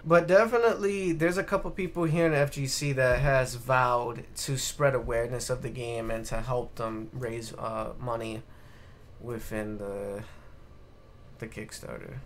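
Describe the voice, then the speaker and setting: A man's voice: medium pitch, fairly even intonation, a little slow. A man co-hosting a casual live stream chat in a blunt, offhand way. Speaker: podcast co-host. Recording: slight background noise.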